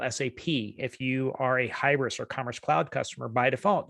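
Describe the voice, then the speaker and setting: A man with a Southern US accent giving a casual, fast-talking webinar presentation as a solutions architect, chatty and self-deprecating. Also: medium pitch, creaky, no echo, clean studio sound.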